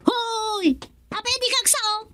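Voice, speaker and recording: A woman playing a shrill, scolding character calling out in an Ilocano radio comedy-drama, with an Ilocano accent. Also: slow, a bit bright, exaggerated voice. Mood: alarmed, scolding.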